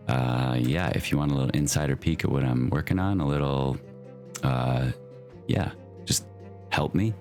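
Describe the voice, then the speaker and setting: A man's voice: very deep voice, darkish tone. A man hosting his own channel, giving easygoing, chatty commentary on a Minecraft build, with plenty of loose fillers. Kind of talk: casual gameplay commentary.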